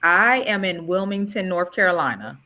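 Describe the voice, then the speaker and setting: Bright-voiced chatty woman on a call. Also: on the low side, moderate pace, a little hiss or hum. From Florida. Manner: chatty, lively, gives advice. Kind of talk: casual group chat on a call.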